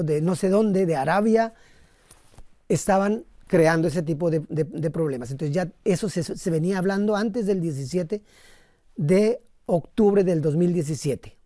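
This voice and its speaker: A man with a Colombian accent, a missionary priest recounting his experiences conversationally in an interview, often pausing to rephrase. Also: high voice, mellow, dry recording, clean studio sound. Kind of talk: conversational personal storytelling in an interview.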